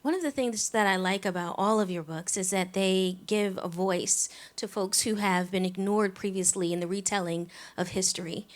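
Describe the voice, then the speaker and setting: Woman moderator, measured questions. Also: medium pitch, fairly even intonation. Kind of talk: panel moderation.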